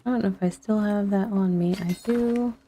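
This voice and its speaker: A woman's voice: mid-range voice, fairly even intonation, normal speed, warm tone, slight background noise. A middle-aged woman chatting casually as she streams a video game, rambling a little and thinking aloud. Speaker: livestreamer playing a video game. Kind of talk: casual chat over gameplay.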